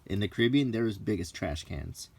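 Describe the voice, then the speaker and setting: A man's voice: on the low side, expressive, brisk, gravelly, a little mellow. A middle-aged man chatting casually and unscripted as he browses books, with nostalgic, mildly grumbling asides. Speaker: vlogger flipping through game books. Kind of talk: casual unscripted chat, unintentional ASMR.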